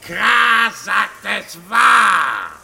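An actor voicing a talking storybook raven in a children's radio play, announcing things in croaking, screechy, drawn-out squawks. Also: medium pitch, very animated, noisy background, heavily acted. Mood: squawking, announcing. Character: talking storybook raven, shrill helpful announcer of fairy-tale pages.

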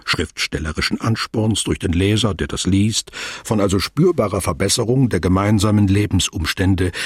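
Deep husky guy narrator for a literary radio drama, measured n formal, close dry booth sound. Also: no echo, exaggerated voice. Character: sober, deliberate male narrator of a literary story.

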